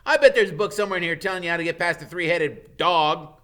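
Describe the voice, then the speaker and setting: A man voicing an eager, blunt schoolboy friend in an animated, informal way. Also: on the high side, quick pace, voice pushed up. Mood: excited.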